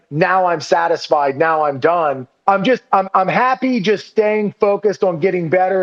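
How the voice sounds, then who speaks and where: A man talking loosely on a podcast, his voice sitting high for a man. He swears easily, leans on "dude" and "like," and laughs at himself as he rambles. The sound is dull and a little lo-fi. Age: under 40. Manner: swears freely, says "dude" and "like" constantly. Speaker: podcast guest. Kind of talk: casual conversational chat.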